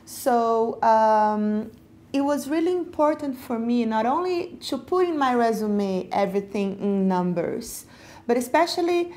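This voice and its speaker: A woman's voice: on the high side, expressive, a little slow, darkish tone. A woman from Brazil, interviewed about job hunting, speaking reflectively and searching for words with frequent fillers. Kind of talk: personal interview.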